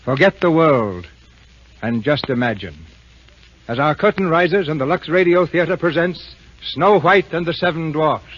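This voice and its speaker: A man's voice: a little high, expressive, moderate pace, slight background noise. A man hosting and narrating a radio drama in formal, grandiloquent 1930s scripted style. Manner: grandiloquent, oratorical. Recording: muffled, husky-sounding old radio broadcast.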